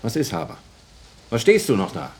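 An actor playing a strict, domineering schoolteacher in a radio drama, barking, sarcastic and pedantic. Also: male voice, medium pitch, wildly swooping pitch, normal speed, a little hiss or hum. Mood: stern, commanding. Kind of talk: German radio drama acting.

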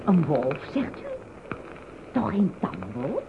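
An actress playing a chatty, flattering, slyly friendly innkeeper in a Dutch radio play. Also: female voice, deep voice, slow pace, heavily acted. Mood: chatty, amused. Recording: muffled, slightly noisy radio recording.

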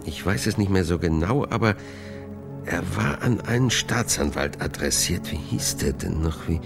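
An actor playing a halting male witness in a radio crime drama, searching his memory in a creaky voice. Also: deep voice, lively intonation, music in the background. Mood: hesitant, searching. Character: hesitant male witness groping through old memories. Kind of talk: German radio play acting.

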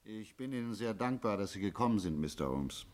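A formal, earnest gentleman colonel acted by a man in a radio drama. His voice is low and his address is polite. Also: animated, a little fast, close to an everyday voice. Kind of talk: German radio play dialogue.

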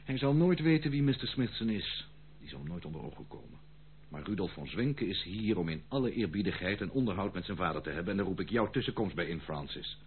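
A man's voice: low voice, lively intonation, fast. An actor in a radio play playing a disowned prodigal son, formal, insistent and theatrical, pleading his case with indignation. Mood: proud, formal. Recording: tinny, phone-like old radio play, slight hum.

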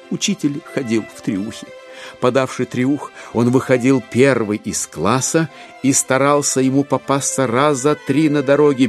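A man narrating classic literature for an audio drama, expressively, with measured phrasing and dramatic pauses. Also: mid-range voice.

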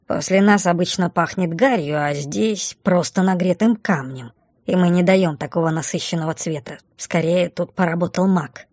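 A woman's voice: fairly low pitch, normal speed, a little mellow, dry recording, clean studio sound. A woman voicing a small, grumbling, fretful female magical creature in a fantasy audiobook. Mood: grumbling, wary.

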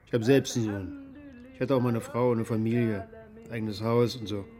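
An actor playing a bitter, middle-aged former security contractor in a naturalistic radio drama, gruff, cynical and colloquial. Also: male voice, on the low side, steady tone, brisk, dark tone, faint music behind, natural voice. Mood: scornful, bitter.

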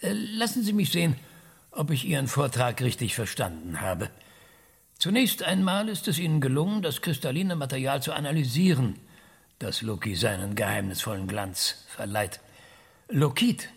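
An ironic scientist in a radio drama, with a very husky voice full of creak. He muses and hesitates, then needles with dry sarcasm, his pitch moving freely. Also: big pitch swings, moderate pace, no room sound, heavily acted. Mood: mocking, challenging. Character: cranky, ironic maverick male scientist, passionate about research.

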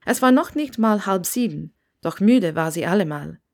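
A woman's voice: medium pitch, expressive, moderate pace. A woman reading scripted fiction aloud as an audiobook narrator.

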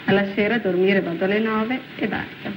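A woman's voice: mid-range voice, steady tone, a little fast. Woman's testimony amid background noise. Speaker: working woman with housework. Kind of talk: everyday testimony. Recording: noisy location recording. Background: clear background noise.

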